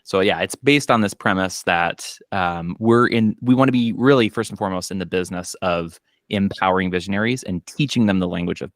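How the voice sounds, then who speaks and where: A man in his thirties from Minnesota with a Minnesota accent, an accountant and business owner telling his story in a casual chat, relaxed and full of fillers. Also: low-pitched, dry recording, clean studio sound. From Minnesota, US Midwest. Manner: relaxed storytelling with frequent fillers and quoted asides. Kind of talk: casual podcast conversation. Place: home office. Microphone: video call.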